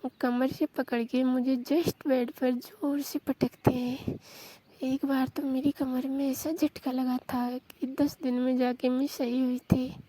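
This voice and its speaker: A woman telling an intimate romantic story in a confessional style, with drawn-out, repetitive phrasing. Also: high-pitched, little pitch movement, mellow, poor audio. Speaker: storyteller in a staged intimate phone conversation.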